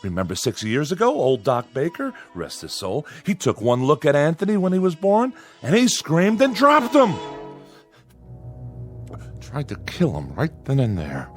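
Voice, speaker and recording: Jittery rustic father, placating and stammering, highly animated intonation, radio play with music underneath. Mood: bitter, despairing. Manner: folksy, rustic grammar; nervous, forced cheerfulness with halting stammers. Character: anxious small-town father placating his feared son. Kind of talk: radio drama acting.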